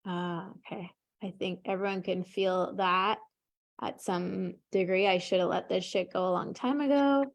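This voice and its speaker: A woman coaching an emotional tapping session, calmly leading her client through short phrases to repeat, in an encouraging way. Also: mid-range voice, unhurried. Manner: calm, encouraging, leads with short prompts.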